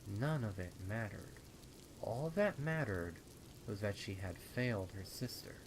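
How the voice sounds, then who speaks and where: A man narrating a horror story dramatically, with deliberate, suspenseful phrasing. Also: lowish voice, lively intonation, noisy background. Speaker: fan fiction narrator.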